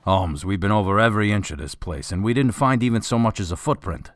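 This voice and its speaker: Gruff deep cop guy, man acting, matter of fact, bit fed up, radio drama, really dry sound. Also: fast, no echo, natural voice. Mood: exasperated. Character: gruff, practical, dryly sarcastic male police officer. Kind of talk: scripted radio drama dialogue.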